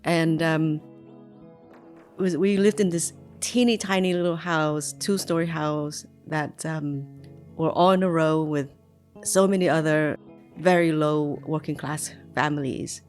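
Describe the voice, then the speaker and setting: A woman's voice: low voice, steady tone, a little slow, darkish tone, soft background music. A woman in her forties from Vietnam, recalling her childhood in an unscripted, reflective way, with frequent ums and likes and restarts in her sentences. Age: 40s. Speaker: migrant telling her life story. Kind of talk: unscripted personal memoir.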